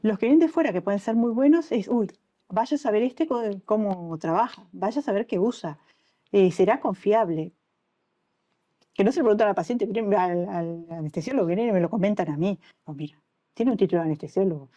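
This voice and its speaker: A woman's voice: medium pitch, a little fast. A middle-aged Uruguayan woman with a Uruguayan Spanish accent, an anesthesiologist telling stories in an interview and acting out what people said to her. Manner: animated storytelling, acts out quoted dialogue. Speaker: anesthesiologist guest. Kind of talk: conversational interview.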